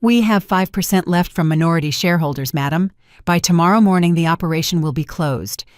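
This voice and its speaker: A woman's voice: lowish voice, brisk, darkish tone, no room sound, clean studio sound, natural voice. A woman voicing a loyal male aide, formal, deferential and businesslike, in a story. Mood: deferential, efficient. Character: loyal, efficient male aide reporting to his boss.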